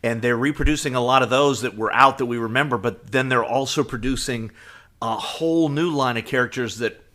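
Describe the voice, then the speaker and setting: A man co-hosting a podcast, chatting casually and explaining in a halting way. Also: mid-range voice, sharp tone. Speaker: podcast co-host. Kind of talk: casual chat.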